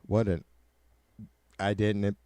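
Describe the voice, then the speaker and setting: Low-voiced raspy, nasal man; swears bluntly and rambles casually, dry close sound. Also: level tone, takes it slowly, warm tone, no echo. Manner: profane, blunt, joking. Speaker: livestreamer. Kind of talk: casual rambling livestream chat.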